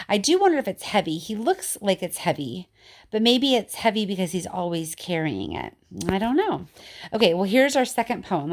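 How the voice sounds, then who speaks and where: Chatty woman reading poems for kids. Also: medium pitch. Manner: informal, chatty, expressive when reading. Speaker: teacher reading poems to children. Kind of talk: read-aloud of children's poetry with casual commentary.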